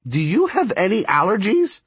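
A man's voice: high-pitched, huge pitch swings, moderate pace, husky, no echo, pitched above the performer's own voice, heavily acted. A man voicing a bossy, impatient and ancient female spirit who forges lightning, scolding and commanding in an audiobook story. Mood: urgent, shrill. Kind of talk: acted audiobook dialogue.

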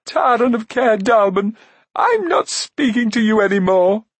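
A man's voice: a little high, expressive, husky, no echo, voice pushed up. A man voicing a headstrong young princess from a storybook, chattering and exclaiming with eager indignation. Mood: hurt, furious. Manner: rushed, breathless, exclaiming chatter.

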